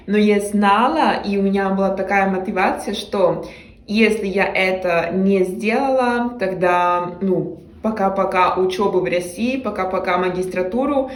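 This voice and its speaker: A woman's voice: medium pitch, echoey room. A young woman from Mexico with a Mexican Spanish accent, talking casually to camera in a language she learned, hesitating with frequent fillers and restarts.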